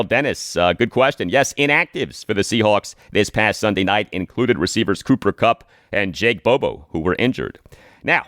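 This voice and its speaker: A man hosting a solo sports podcast, commenting and reading aloud with an emphatic, punchy delivery and dramatic pauses. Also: fairly low pitch, a bit bright, no room sound, studio quality.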